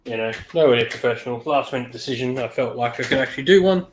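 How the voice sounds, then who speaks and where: Croaky laid-back man streaming. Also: mid-range voice, fast, a little hiss or hum. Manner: laid-back, many ums, greets chat viewers by name. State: slightly croaky from illness. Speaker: hobby stream host. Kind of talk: casual live-stream chat while painting miniatures.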